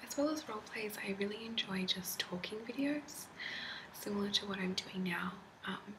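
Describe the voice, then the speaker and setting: A woman with an Australian accent whispering softly into a close mic for an ASMR talking video, rambling with frequent hesitant ums. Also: mid-range voice, vocal fry. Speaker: ASMR creator. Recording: steady background noise.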